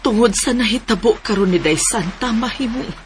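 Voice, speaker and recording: A woman's voice: fairly high pitch, normal speed, a little hiss or hum, natural voice. An actress playing a worried, cautioning middle-aged mother in a Philippine radio drama, with a Cebuano Filipino accent. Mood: grave, worried.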